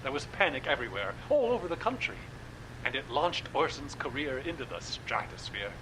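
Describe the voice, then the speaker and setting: A man's voice: medium pitch, big pitch swings, brisk, noisy background, lightly acted. An actor playing a genial male radio horror-drama producer, talking with relish about spooky stories. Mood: wry. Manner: theatrical, relishing spooky talk.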